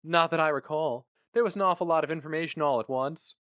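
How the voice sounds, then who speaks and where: A man acts out an earnest young man on his first day at work in a dramatic reading. He is polite and deferential. The pitch is lifted and the sound very dry. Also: fast, a bit bright, smooth, no echo, lightly acted. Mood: deferential, uncertain. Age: 20s. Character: polite, earnest young new male employee, twenty-nine.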